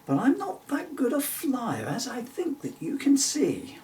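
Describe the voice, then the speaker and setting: A man's voice: very high-pitched, unhurried, recorded from a distance, a little hiss or hum, voice pushed up, heavily acted. A man voicing a curious, polite and giggly young girl from a children's storybook. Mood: polite, chirpy. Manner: polite, excitable. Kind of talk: children's storybook character reading.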